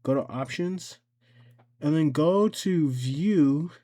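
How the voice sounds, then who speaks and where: A man giving a casual, unscripted software tutorial, thinking aloud as he goes, haltingly repeating and correcting himself. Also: on the high side. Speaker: tutorial host.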